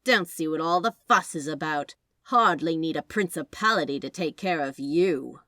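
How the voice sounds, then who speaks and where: A woman's voice: deep voice, a bit bright, no echo, clean studio sound. Woman voicing a snide, sneering male angel. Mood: snide contempt. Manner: snide, contemptuous, deliberate.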